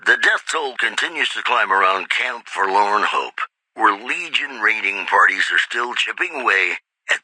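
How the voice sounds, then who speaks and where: An actor playing a suave radio DJ who reads wasteland news in a polished, measured announcer style for a video game. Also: male voice, on the low side, sharp tone, no room sound, full character voice, low-quality recording. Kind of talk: scripted radio news bulletin.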